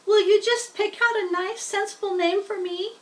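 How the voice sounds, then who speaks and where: A woman's voice: very high voice, fairly even intonation, normal speed, distant mic, voice pushed up. A woman voicing tiny young female spiders, friendly and dainty, with small, polite sing-song voices in a storybook reading. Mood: bright, pleased.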